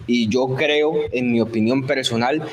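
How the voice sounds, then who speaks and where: A man's voice: medium pitch, steady tone, measured pace, a little hiss or hum. A theology student gives a prepared, earnest testimony about his studies.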